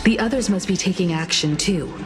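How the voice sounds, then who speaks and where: A woman's voice: on the low side, a little fast, natural voice. A woman voicing a composed, witty and confident adventurer in video game dialogue. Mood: confident, determined. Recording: music behind. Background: game music.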